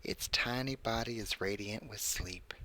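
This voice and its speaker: A man's voice: on the low side, unhurried, pushed and bright, a little hiss or hum. A man reading a creepy story in the first person as a lonely, puzzled, incorporeal spirit, in a solemn literary monologue. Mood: watchful, intrigued.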